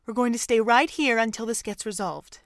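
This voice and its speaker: A woman's voice: on the high side, a little fast, no echo, studio quality, close to an everyday voice. A woman acting in an audio drama as a protective, anxious pony mother. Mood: relieved, anxious.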